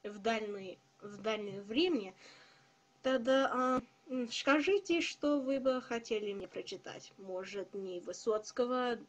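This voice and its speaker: Young non-native woman vlogger; high, unhurried delivery, careful and halting. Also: lively intonation. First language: not Russian. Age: young adult. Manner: hesitant, careful learner's speech with many pauses. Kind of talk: casual video address to viewers.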